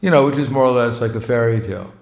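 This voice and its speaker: A man's voice: medium pitch, racing, creaky. Chuckling man preaching in an echoey hall. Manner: joking, chuckles often between phrases; plainspoken, self-mocking. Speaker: visiting monk giving a talk. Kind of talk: informal sermon. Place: temple hall.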